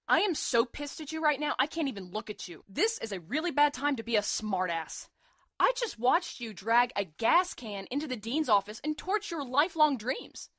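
A slightly high-voiced, sardonic young woman played by an actress in an audio drama, a defiant and protective med student. She talks bluntly and with intensity, recorded close and dry. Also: a bit bright, dry recording. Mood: furious. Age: young adult. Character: defiant, sarcastic, fiercely protective young female medical student.

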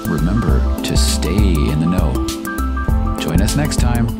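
A man reading scripted promotional narration as a podcast announcer, with a polished, measured delivery. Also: low voice, very animated. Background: loud music.

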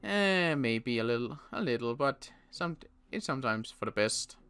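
A young man playing a weary survivor in a Minecraft roleplay, talking in halting bursts with sighs and restarts. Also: mid-range voice, lively intonation, a bit bright, clear, resonant tone. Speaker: roleplay player and channel host.

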